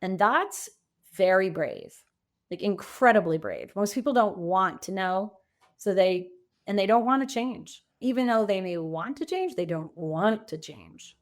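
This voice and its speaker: A woman talks as a coaching guest on a podcast. Her voice sits at a medium pitch. She speaks reflectively in a conversational way, leaning on key phrases for emphasis. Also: very animated, measured pace.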